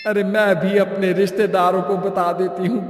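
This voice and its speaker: A man voicing a loud, teasing older mother of a grown son in a cartoon story, brassy and mocking. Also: high voice, little pitch movement, moderate pace, background noise, close to an everyday voice. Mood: delighted. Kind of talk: Hindi cartoon moral story acting. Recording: echoey with long reverb.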